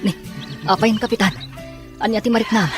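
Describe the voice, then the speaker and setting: A woman's voice: fairly high pitch, steady tone, a little fast, music in the background, lightly acted. Woman acting as an earnest castaway woman. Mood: concerned. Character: anxious castaway woman tending an ailing captain. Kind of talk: amateur drama acting.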